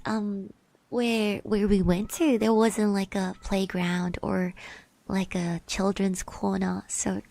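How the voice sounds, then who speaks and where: A woman voicing a shy, sweet, chatty girl classmate in a soft-spoken, hesitant ASMR roleplay. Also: mid-range voice, vocal fry, no echo, poor audio. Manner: hesitant, gentle, refers to herself in third person.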